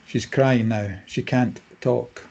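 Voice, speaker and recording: A man's voice: mid-range voice, fairly even intonation, takes it slowly, a little mellow, lo-fi sound. A man reading stage directions as scripted narration for a drama table read.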